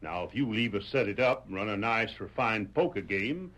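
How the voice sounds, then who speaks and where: A man's voice: on the low side, animated, fairly bright tone. An actor playing a boastful, wheedling rustic con man with a Southern country accent in an old radio western. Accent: American Southern country. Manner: folksy, swaggering fast talker. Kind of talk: old-time radio comic western acting.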